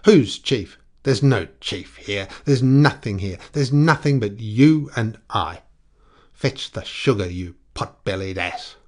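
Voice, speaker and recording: A man voicing a furious, insolent colonial soldier in a storybook, snarling and mocking in shouted outbursts. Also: fairly low pitch, lively intonation, moderate pace. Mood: raging. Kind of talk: dramatic storybook character reading.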